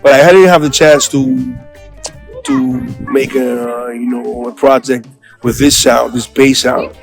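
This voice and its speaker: A man's voice: medium pitch, steady tone, slow, gravelly. A middle-aged man from Greece with a Greek accent, a rapper talking casually and slangily in an interview. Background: music.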